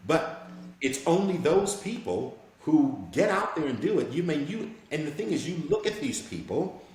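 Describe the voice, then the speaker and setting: A man's voice: a little high, big pitch swings, normal speed, a little mellow. A man who is a voice actor, speaking earnestly and reflectively as a guest in a casual interview, often checking that he is understood. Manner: reflective, earnest, often asks "you know what I'm saying". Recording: very echoey room with faint background noise.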